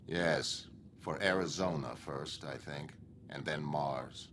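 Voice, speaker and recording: A man's voice: a bass voice, slow, very noisy. A man playing an aloof, emotionless superhuman who speaks with formal, resigned detachment. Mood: detached, resigned.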